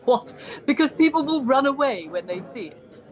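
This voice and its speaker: High, sardonic heckling critic played by a woman. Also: sing-song, moderate pace. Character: sardonic, grumbling theatre critic. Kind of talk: amateur pantomime comedy acting.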